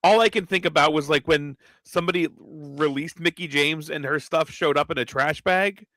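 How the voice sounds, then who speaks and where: A man's voice: fairly high pitch, normal speed, bright, forceful tone, no room sound. A man co-hosting a casual wrestling podcast, rambling through stories with frequent swearing. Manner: profane, rambling storyteller. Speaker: podcast co-host.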